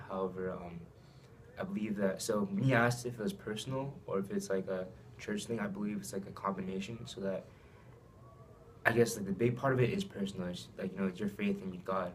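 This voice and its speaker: A young man from Saipan who grew up in Japan answers questions in a very low, even voice. He hesitates often and fills gaps with ums and likes. Faint noise hums behind him. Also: fairly quick. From Saipan, raised in Japan. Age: young adult. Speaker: student athlete interviewee. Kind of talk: casual interview answers.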